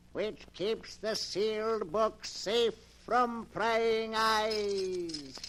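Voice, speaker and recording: High, slow, creepy keeper of dark tales. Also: male voice, slight background noise, full character voice. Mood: gleefully sinister. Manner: theatrical, gleefully sinister, drawn-out words. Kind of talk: 1940s radio horror acting. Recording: old 1940s radio recording with music.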